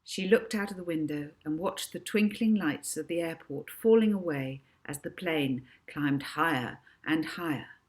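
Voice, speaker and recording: A woman reads a children's story aloud with expression. Her voice is low, and she takes the narration slowly, as an author reading her own work.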